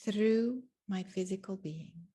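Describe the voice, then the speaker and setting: Very slow-paced woman leading guided meditation. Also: medium pitch, mellow, husky. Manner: deliberate phrases with long pauses.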